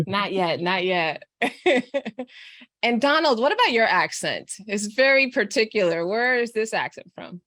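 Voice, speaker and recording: A woman hosting a casual podcast conversation, encouraging and quick to laugh. Her voice sits at a middle pitch and is recorded dry and close, with no room sound. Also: very animated, no echo. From Texas, USA. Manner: warm, laughs often, encouraging. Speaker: podcast host and coach. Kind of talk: casual interview chat.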